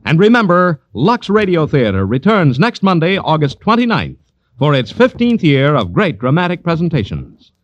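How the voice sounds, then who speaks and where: A man's voice: a little high, huge pitch swings, moderate pace, no room sound, highs cut off. An American man announcing radio programmes in a polished, emphatic 1940s promotional style. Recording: old 1940s radio broadcast, music behind.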